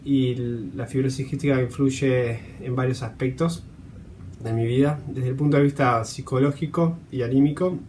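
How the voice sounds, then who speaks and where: A man giving a halting personal testimonial, searching for his words. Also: mid-range voice, fairly even intonation, darkish tone, slight background noise. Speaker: patient sharing personal experience.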